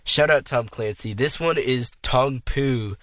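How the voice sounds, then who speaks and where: A young man hosting a casual college radio show, laughing easily and filling pauses with ums. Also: fairly bright tone, no room sound. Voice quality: higher voice. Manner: laid-back, laughing, filler-heavy.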